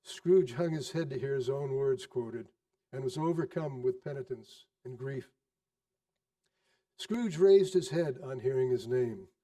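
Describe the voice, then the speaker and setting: A man narrating a classic story in a theatrical style, with dramatic, deliberate phrasing and varied emphasis. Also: medium pitch, warm tone, far from the mic.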